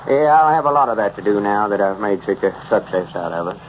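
A man's voice: lowish voice, expressive, fast, lightly acted. Man acting a pompous old Southern country fellow. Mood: self-important. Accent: rural Southern US. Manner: pompous, folksy. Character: self-important, indignant old country fellow. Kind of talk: 1930s radio comedy acting. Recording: muffled, noisy 1930s radio recording.

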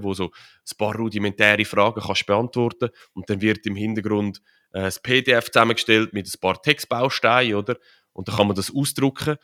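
Swiss German man, low voice, relaxed rambling guest explaining at length with lots of fillers. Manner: rambling, many fillers and tag questions. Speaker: podcast guest, author and crypto consultant. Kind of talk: casual conversational interview.

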